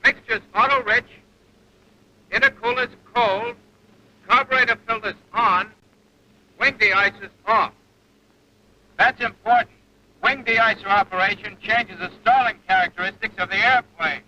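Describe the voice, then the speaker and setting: A man's voice: sits high, big pitch swings, a little slow, gravelly, long pauses, pushed and bright, lo-fi sound, heavily acted. A man playing a seasoned, exacting bomber flight instructor in a 1940s training film, with a clipped, instructional manner. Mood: brisk, firm. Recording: old 1940s film soundtrack, engine noise.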